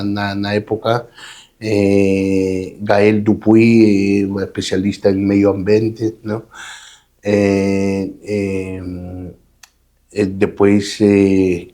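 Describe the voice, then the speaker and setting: Slow, deep Peruvian man speaking Portuguese. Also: mellow. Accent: Peruvian Spanish. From Peru. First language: Spanish. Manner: hesitant, many fillers and repeated words, ends phrases with "não?". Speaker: guest, hydrogen association president. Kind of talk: conversational interview.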